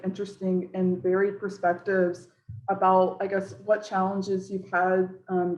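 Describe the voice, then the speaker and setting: A woman's voice: medium pitch, a little mellow. A woman moderating a panel over a video call, casual and friendly with many fillers. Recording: roomy dull video call audio.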